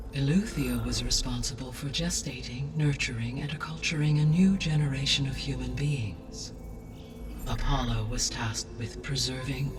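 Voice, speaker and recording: A man's voice: mid-range voice, close to an everyday voice. A man voicing a precise, clinical AI. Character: calm ancient artificial intelligence guiding the heroine. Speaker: video game character. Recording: game cutscene with music, distant.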